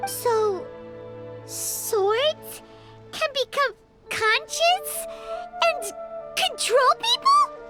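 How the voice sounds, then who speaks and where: A tiny fairy sidekick from a video game, extremely high and squeaky, drawing words out very slowly. The childlike, feisty character is expressive and cartoonish, with music behind. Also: female voice, full character voice. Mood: puzzled, curious. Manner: exaggerated, expressive cartoonish delivery. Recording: loud background music.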